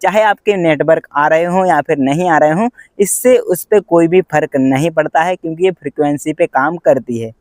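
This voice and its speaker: A man's voice: on the high side, moderate pace, hardly any pauses, darkish tone, no echo, studio quality. A man narrating an explanatory vlog, addressing viewers and going through things methodically, point by point. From India. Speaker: railway pointsman and vlogger.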